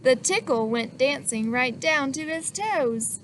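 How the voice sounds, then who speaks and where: A woman reading a children's picture book aloud in an animated way, stopping to ask the listener questions and acting out the sneezes. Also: high-pitched, a little slow, slight background noise.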